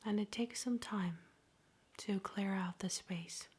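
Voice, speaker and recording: Breathy whispering woman, slow ASMR meditation. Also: medium pitch. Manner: slow, gentle, soothing delivery with long pauses. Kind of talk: soft-spoken whispered ASMR guided meditation.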